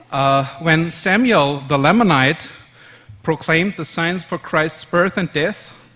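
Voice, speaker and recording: Slow Austrian man with German accent. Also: on the high side, fairly bright tone. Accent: German-speaking Austrian. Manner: measured, with fillers and self-corrections. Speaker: conference speaker. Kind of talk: conference presentation. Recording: long hall reverb.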